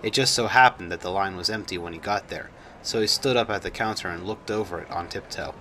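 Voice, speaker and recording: Bright-voiced man narrating a story. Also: deep voice, brisk, slight background noise. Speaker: author reading own story.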